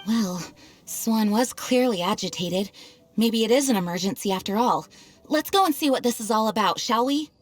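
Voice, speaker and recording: A woman playing a composed, dutiful young knight leader in a video game, calm, polite and considerate. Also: on the high side, moderate pace, close to an everyday voice. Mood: calm, decisive.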